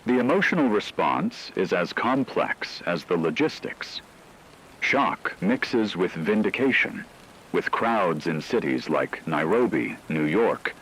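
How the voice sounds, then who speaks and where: A very deep acted male voice of a grave news anchor in an apocalyptic radio drama. His formal, solemn delivery rises and falls expressively, over a faint rain-like noise. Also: fairly bright tone, full character voice, low-quality recording. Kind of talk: scripted dramatic news broadcast narration.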